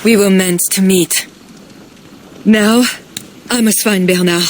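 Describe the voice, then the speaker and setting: Pious noble queen, voiced by a woman. Soft and earnest, medium pitch. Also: fairly even intonation, takes it slowly, slight background noise, close to an everyday voice. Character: pious, gentle noblewoman estranged from her husband. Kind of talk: video game cutscene acting.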